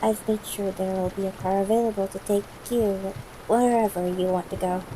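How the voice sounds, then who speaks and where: A woman playing a tender, yearning, gently commanding CEO ex-girlfriend in an intimate ASMR roleplay, soft-spoken and formal in wording, with hesitations. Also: medium pitch, fairly even intonation, moderate pace, lightly acted. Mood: tender, relieved. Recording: noisy broken-microphone home recording.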